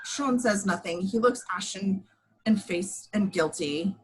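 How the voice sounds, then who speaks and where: A woman's voice: medium pitch, measured pace, low-quality recording. A woman reading screenplay action lines as the narrator of a table read. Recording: home recording.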